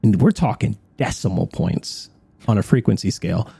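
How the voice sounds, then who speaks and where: A man's voice: lowish voice, animated, normal speed, creaky, mellow, husky, dry recording. A man co-hosting a radio show, explaining things in a conversational talk-show style.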